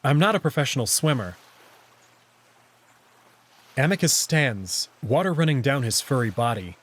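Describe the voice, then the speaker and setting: A man's voice: medium pitch, big pitch swings, moderate pace, fairly bright tone. A man reading scripted first-person story narration from a visual novel, with acted dialogue. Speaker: narrator and voice reader.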